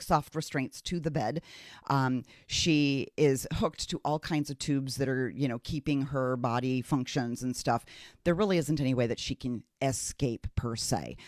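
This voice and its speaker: A man talks through a criminal trial in a casual, opinionated style. His voice sits at an average pitch and he stresses points emphatically, often repeating phrases. The sound is dry, with very little room to it. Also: dry recording. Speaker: true crime commentator.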